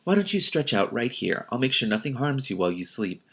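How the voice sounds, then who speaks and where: A man voicing a creepy male ogre from a storybook, coaxing and soothing with quiet menace. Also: mid-range voice, very animated, brisk, husky, exaggerated voice. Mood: soothing, sinister.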